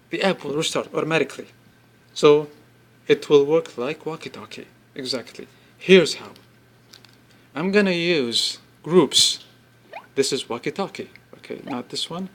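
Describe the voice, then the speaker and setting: A man presenting an informal product demonstration, explaining step by step in halting phrases and repeating himself. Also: a little high, fairly bright tone. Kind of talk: informal spoken product demo.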